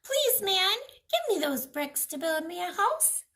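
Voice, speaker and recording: A woman voicing a small, plucky, polite young storybook pig with a squeaky, pleading and defiant manner. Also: sing-song, unhurried, pushed and bright, heavily acted. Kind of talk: storybook read-aloud.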